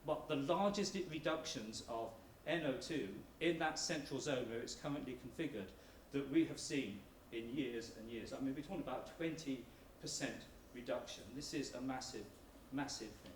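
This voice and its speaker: A man's voice: medium pitch, moderate pace, hardly any pauses. A man giving a persuasive public presentation as an academic researcher, speaking emphatically. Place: large indoor room at a public meeting. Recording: roomy hall, distant mic, background noise.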